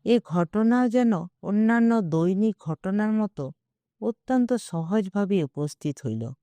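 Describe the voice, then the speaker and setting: Slow-paced woman narrating a story. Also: mid-range voice, dark tone, no room sound. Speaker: audiobook narrator. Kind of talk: literary short story narration.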